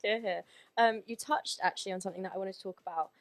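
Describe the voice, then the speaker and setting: A woman's voice: mid-range voice, fast talker. A woman hosting a casual podcast interview, conversational and encouraging, with frequent affirmations. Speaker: podcast host.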